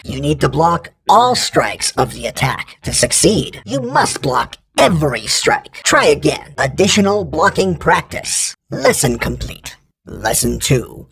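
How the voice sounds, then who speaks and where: An actor voicing a stern, precise male training droid in a video game, giving clipped, formal combat instructions in a raspy voice with robotic processing. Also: fairly high pitch, fairly bright tone, heavily acted. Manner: terse, formal, imperative, emotionless correction.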